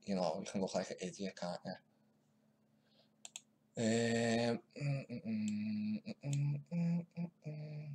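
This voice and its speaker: A man's voice: mid-range voice, slow pace, a little mellow, slight background noise. A man giving casual, mocking commentary while editing clips, often muttering to himself. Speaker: video maker commenting on news clips.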